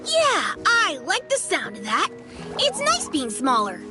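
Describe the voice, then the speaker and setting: A woman's voice: high voice, wildly swooping pitch, a little slow, music in the background, heavily acted. A woman voicing a small, young, innocent dragon in a video game, with a childlike voice and a hesitant, earnest manner. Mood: joyful, grateful.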